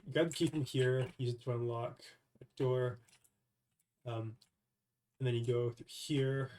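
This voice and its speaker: Young male game developer, stuffy from a cold, halting casual voice-over at a desk mic. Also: mid-range voice, darkish tone. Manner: hesitant, many fillers and restarts. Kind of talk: casual devlog screen recording.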